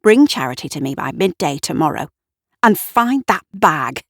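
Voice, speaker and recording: A woman's voice: low voice, very animated, normal speed, no echo, full character voice. A hard, commanding woman from an audio story, put on by a woman narrator. She gives clipped, imperious orders in a close, dry recording. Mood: stern, threatening.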